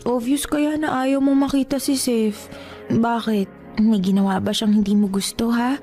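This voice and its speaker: Nagging, coaxing little sister played by an actress. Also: female voice, a little high, fairly even intonation, unhurried, warm tone, soft background music, close to an everyday voice. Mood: teasing, suspicious. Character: clingy, teasing, suspicious younger sister. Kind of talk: Tagalog radio drama acting.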